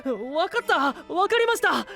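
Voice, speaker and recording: A man's voice: very high-pitched, very animated, normal speed, gravelly, pushed and bright, soft background music, exaggerated voice. A man playing an earnest, boyish young knight with plain, frank speech in an anime-style audio drama.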